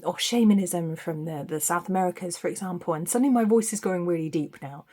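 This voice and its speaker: A woman giving an intuitive tarot reading to camera, meandering and reflective, with frequent ums and soft restarts, recorded on a phone. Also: mid-range voice. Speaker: tarot reader.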